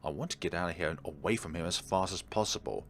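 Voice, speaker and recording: A man's voice: low-pitched, fast. A man reading game narration aloud as a let's play commentator, adding wry casual asides. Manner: wry interjections, agreeing asides.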